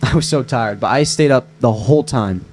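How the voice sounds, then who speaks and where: A man's voice: mid-range voice, fairly quick, close to an everyday voice. A man playing an earnest, teasing young best friend in a screenplay table read. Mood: tender.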